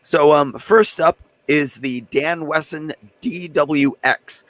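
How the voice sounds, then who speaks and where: A man with a hoarse, fading voice hosting a casual livestream and talking loosely and chattily to his viewers. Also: on the high side, slow, fairly bright tone. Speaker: host of a live gun channel stream.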